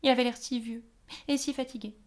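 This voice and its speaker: A woman's voice: a little high, steady tone, voice pushed up, close to an everyday voice. A woman voicing a gloomy, grumpy little fairy who speaks in a slightly raised, grumbling voice. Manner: sulky, brisk grumbling.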